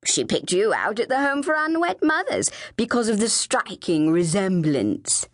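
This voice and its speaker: A vain, cruel teenage girl from an audiobook, played by a woman. She taunts and accuses with disdain, her pitch sweeping up and down. The recording is very dry. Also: very animated, measured pace, no room sound. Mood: cruel taunting. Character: haughty, accusing teenage English older sister, cruel and vain. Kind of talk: audiobook character dialogue.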